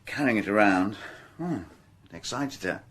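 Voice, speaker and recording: An actor with a British accent playing a dogged, exasperated French police detective in a radio drama, his voice slightly husky. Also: fairly low pitch, expressive, normal speed, fairly bright tone, a little hiss or hum. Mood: weary, explaining. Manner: hesitant musing breaking into indignant outbursts.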